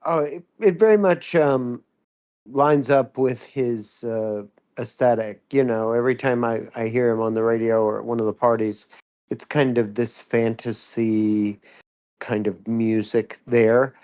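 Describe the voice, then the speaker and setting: A man's voice: medium pitch, steady tone, unhurried, darkish tone. A man giving casual, analytical feedback in a thoughtful, explanatory manner. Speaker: co-commentator giving music feedback.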